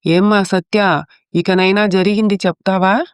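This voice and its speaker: A woman's voice: medium pitch, fairly even intonation, measured pace, clear, resonant tone, no echo, clean studio sound, lightly acted. A woman playing an elderly mother-in-law in a family story, caring but chiding.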